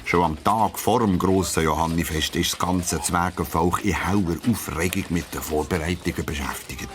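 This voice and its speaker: Low-voiced storyteller man. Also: a little hiss or hum. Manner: expressive storytelling, drawn-out emphasis. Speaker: narrator. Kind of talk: children's audio story narration.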